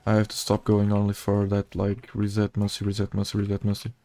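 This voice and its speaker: A gamer with a Portuguese accent chats casually over his own play. His voice is a man's, on the low side, with a soft, mellow tone. He keeps it flat and low-key, as if half talking to himself. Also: brisk, dry recording. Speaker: gaming video creator. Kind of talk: casual gameplay commentary.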